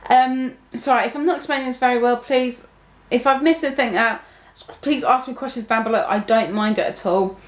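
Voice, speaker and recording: A woman's voice: fairly high pitch, a little fast, hardly any pauses. Rambling young woman in an echoey room. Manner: rambling, many restarts and fillers. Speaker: vlogger. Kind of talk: casual talk to camera.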